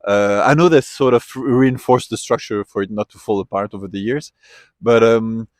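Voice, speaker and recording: A man's voice: fairly low pitch, steady tone, moderate pace, no room sound, studio quality. A man from Switzerland, talking in a casual outdoor street interview, thoughtful and given to trailing off and restarting. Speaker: interviewee, tourist. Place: outdoors at a memorial site.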